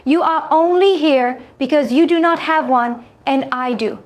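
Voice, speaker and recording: A woman playing a calm, exacting alien trader in a science fiction story, speaking formally with careful, deliberate phrasing. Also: high voice. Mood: grave, measured.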